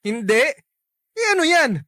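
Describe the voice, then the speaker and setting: A woman's voice: fairly high pitch, very animated, a little slow, a bit bright, no echo, studio quality. A woman playing a jealous, possessive young Filipino lover in a radio drama, insistent and interrogating. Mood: jealous, angry.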